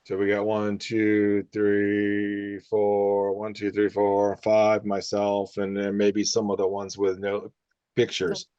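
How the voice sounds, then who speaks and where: A man who is a speech-language pathologist leading a casual group discussion, talking loosely with quick bursts and restarts. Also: fairly low pitch, monotone, dark tone, smooth, dry recording, muffled recording. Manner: conversational with many fillers and restarts, sometimes rapid.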